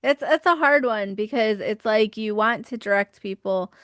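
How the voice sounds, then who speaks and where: A woman's voice: medium pitch, normal speed, fairly bright tone, dry recording, clean studio sound. A woman hosting a podcast interview, chatting casually and supportively, laughing and agreeing often. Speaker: podcast host.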